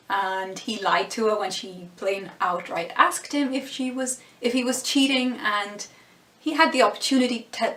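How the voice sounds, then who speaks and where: A woman with a fairly high voice, losing her voice, chats through her thoughts as a casual vlogger. She muses aloud, backs up and restarts her phrases, and slips in small laughs. The room around her rings with echo. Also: a bit bright. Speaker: vlogger reviewing a TV show. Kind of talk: casual vlog commentary.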